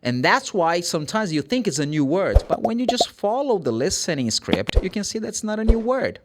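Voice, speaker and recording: A man with a Brazilian accent and Portuguese as his first language gives an informal English pronunciation lesson. His voice sits a little high and moves up and down in a very lively way. He speaks emphatically, posing questions and answering them himself like a classroom teacher. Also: moderate pace, very noisy. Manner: emphatic, asks and answers own questions, acts out short examples.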